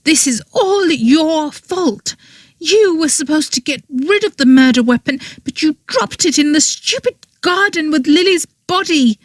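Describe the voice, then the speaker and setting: A woman's voice: extremely high pitch, sing-song, slow pace, no room sound, pitched above the performer's own voice, full character voice. A woman voicing a shrieking, furious young woman. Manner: shrieking, bitter, near tears. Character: furious tearful young woman accused of murder.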